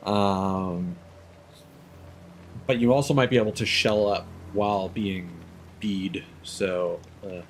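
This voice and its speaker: A man's voice: low-pitched, steady tone, slow pace, a little hiss or hum. A man streaming a video game, reacting casually and swearing now and then, with many fillers and restarts. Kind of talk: live gameplay commentary.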